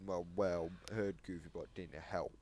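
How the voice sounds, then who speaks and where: A man giving casual reaction commentary, explaining his reading in a measured way. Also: low-pitched, steady tone, a little mellow. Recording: clearly noisy background on voice recording.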